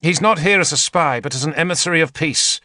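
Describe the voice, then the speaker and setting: A man's voice: fairly high pitch, a little fast, pushed and bright, no room sound, clean studio sound, voice pushed up. A man voicing an earnest, courteous knight envoy who speaks formally and firmly, in an audiobook. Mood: pleading firmly. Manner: formal, firm, respectful.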